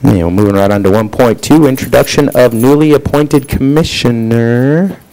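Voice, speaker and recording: A man's voice: normal speed, dark tone, rough recording. A man chairing a city commission meeting, talking casually into a desk microphone in a council chamber. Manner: casual, joking, says he has a booming voice.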